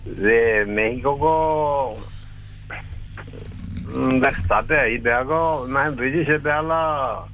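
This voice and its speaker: A man, rambling about football on a radio show in a halting, slangy way. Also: mid-range voice, fairly bright tone, slight background noise, poor audio. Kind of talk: casual football talk on radio.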